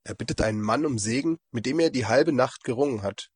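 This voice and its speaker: Male lay preacher, hoarse and husky from a cold, mid pitch, measured devotional read, dry close recording. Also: dry recording. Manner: calm, measured reading. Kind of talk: devotional reflection.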